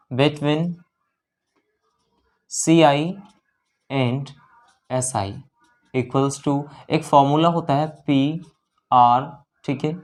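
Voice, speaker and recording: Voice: medium pitch, darkish tone. A maths teacher working through exam practice problems step by step, repeating numbers and checking in with the listener as they go. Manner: repeats numbers and keeps checking in with "theek hai"; mixes English terms into the explanation.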